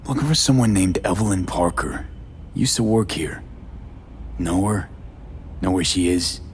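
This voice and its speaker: A man's voice: sits low, unhurried, a little hiss or hum. A man playing a jaded, sardonic mercenary in a video game, blunt and guarded, in clipped, terse phrases with dry sarcasm.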